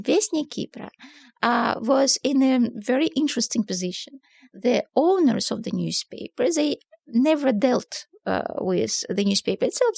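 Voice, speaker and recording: High-pitched Russian-accented woman, gravelly. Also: no room sound, studio quality. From southern Russia. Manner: frequent fillers, non-native grammar. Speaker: interview guest, newspaper publisher. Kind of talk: casual conversational storytelling.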